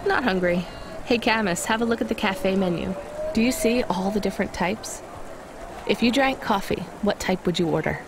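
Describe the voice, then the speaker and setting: A woman's voice: mid-range voice, normal speed, noisy background, lightly acted. A woman playing a young courier driver in a video game, with a dry, skeptical, understated delivery. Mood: dry, curious. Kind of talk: naturalistic scripted game acting.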